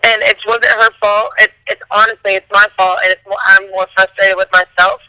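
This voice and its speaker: Hoarse-voiced woman in casual chat. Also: medium pitch, little pitch movement, brisk, pushed and bright, dry recording, rough recording. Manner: mumbles, talks in loose restarts. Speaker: singer and guest.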